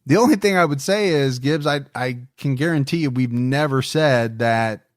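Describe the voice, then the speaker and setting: A man hosting a true-crime podcast, talking in an earnest, reflective way. His voice sits at a middle pitch. The sound is dry and close, with no room echo. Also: normal speed. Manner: earnest, reflective, repeats words when stressing a point. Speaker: podcast host.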